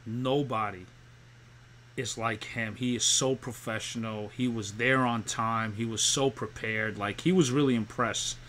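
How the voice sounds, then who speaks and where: A man's voice: medium pitch, fairly even intonation, measured pace, fairly bright tone, slight background noise. A man hosting a casual interview over a video call from a dim room at home, asking questions in a chummy, easygoing way. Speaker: talk show host. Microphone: laptop webcam on a video call.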